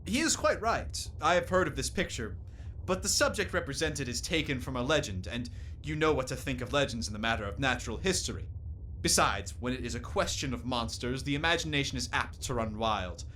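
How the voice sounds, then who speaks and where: An actor in a radio play playing a learned, courteous professor with an earnest, formal way of speaking. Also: male voice, mid-range voice, animated, brisk, pushed and bright, a little hiss or hum. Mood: learned, explaining. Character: learned, curious, courteous male naturalist professor.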